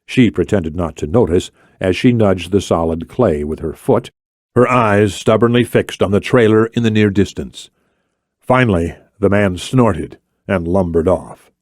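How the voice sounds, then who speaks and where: A man narrates a thriller audiobook from a script. His voice sits fairly low, and the recording is very dry. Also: unhurried, darkish tone, no room sound.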